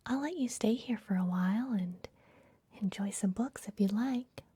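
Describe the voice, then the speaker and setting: A woman playing a secretive, soothing vampire librarian in a fantasy ASMR roleplay, speaking in a soft, breathy whisper close to the microphone. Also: mid-range voice. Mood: secretive, welcoming. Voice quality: breathy, mostly unvoiced whisper. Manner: soft, gentle, unhurried, confiding.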